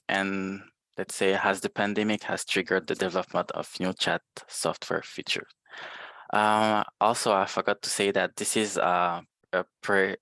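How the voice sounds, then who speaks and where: Slow, hesitant man with a low, gravelly voice. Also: pushed and bright, no room sound. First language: French. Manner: hesitant, many filler sounds. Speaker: guest presenter, librarian. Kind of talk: webinar presentation. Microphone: video call.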